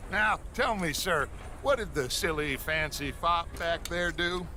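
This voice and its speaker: An actor playing a charming, theatrical middle-aged outlaw gang leader in a game cutscene who talks in a grandiloquent, persuasive way. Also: male voice, fairly high pitch, measured pace, sharp tone, a little hiss or hum. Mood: smooth charm. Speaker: smooth-talking gang leader using an alias.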